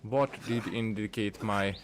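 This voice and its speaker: A man giving casual gameplay commentary, rambling and thinking aloud with halting phrases. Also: on the low side. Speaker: gaming streamer. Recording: game music behind the voice. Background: video game music.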